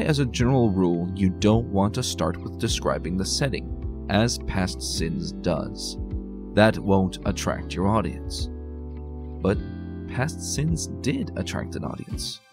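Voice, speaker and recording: Expressive low-voiced man giving scripted commentary. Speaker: host, reviewer of fan fiction. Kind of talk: scripted video review commentary.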